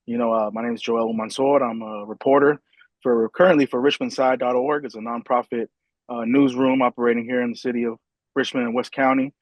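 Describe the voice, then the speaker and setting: Male reporter, mid-pitch, relaxed and humble. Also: fairly quick, no echo, dull audio. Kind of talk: guest introduction on radio.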